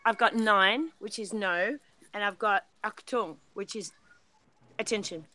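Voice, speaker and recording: Bright playful woman, teasing. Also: medium pitch, a little slow. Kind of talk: playful casual banter.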